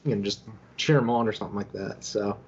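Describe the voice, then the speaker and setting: A man's voice: fairly low pitch, fast talker, highs cut off, slight background noise. A man co-hosting a podcast, giving casual, conversational commentary on news. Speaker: podcast co-host.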